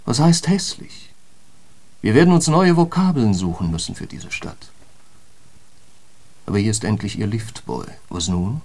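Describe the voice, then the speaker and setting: A grave radio drama narrator with a somewhat low voice. He speaks in measured, rhetorical phrases, guiding the listener like a literary essayist. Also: darkish tone. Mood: wry, pointed. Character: male narrator, grave literary guide to a harsh New York.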